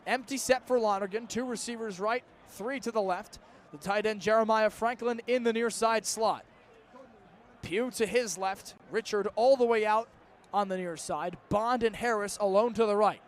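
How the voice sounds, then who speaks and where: A young man works as a radio play-by-play announcer for college football. His voice sits high for a man and he describes the action in short, clipped phrases. Faint outdoor stadium noise runs behind him. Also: moderate pace. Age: young adult.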